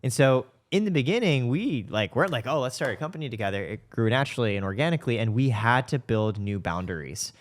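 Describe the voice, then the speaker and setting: A man's voice: mid-range voice, lively intonation, quick pace, hardly any pauses. A man hosting a podcast in a casual, conversational interview style, speaking informally and with enthusiasm. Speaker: podcast host and startup co-founder.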